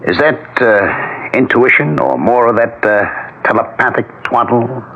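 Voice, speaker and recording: An actor playing a defensive middle-aged husband in a 1970s radio drama, hesitant and dryly sardonic. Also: male voice, medium pitch, measured pace, bright, forceful tone. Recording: dull 1970s radio recording.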